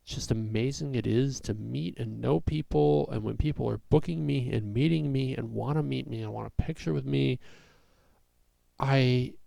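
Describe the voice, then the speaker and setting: A young man, a comedian, talking through a personal vlog in a rambling way with a raspy voice and many fillers. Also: mid-range voice, moderate pace, dark tone, dry recording. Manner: rambling, full of fillers and restarts.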